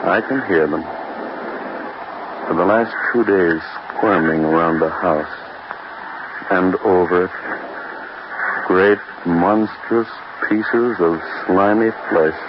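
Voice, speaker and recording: An actor playing a haunted, despairing, doomed man in an old radio horror drama, with a brooding, theatrical, drawn-out delivery. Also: low-pitched. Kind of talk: 1930s-40s radio horror acting. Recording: noisy, muffled old radio broadcast recording.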